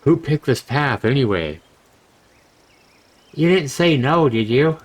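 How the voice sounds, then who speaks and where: A man's voice: mid-range voice, animated, takes it slowly, voice pushed up. Man reading as a grumbling, worried adventurer friend. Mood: exasperated. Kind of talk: visual novel character reading.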